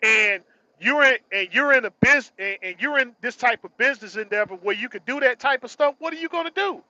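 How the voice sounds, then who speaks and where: High-pitched, emphatic man in his forties. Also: expressive, a little fast, sharp tone, no room sound, dull audio. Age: early 40s. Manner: emphatic, repeats phrases for stress, slangy. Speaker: truck driver vlogger. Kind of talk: casual motivational monologue.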